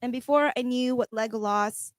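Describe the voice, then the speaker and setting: A woman's voice: fairly high pitch, normal speed, no room sound, clean studio sound. A woman chatting on a radio panel, telling stories in an emphatic, talkative way. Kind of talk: casual radio chat.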